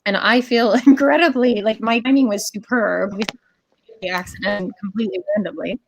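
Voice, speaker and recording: A woman panelist who is a climate activist, talking in a reflective, unhurried way on a casual video call. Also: medium pitch, steady tone, gravelly, no echo, dull audio.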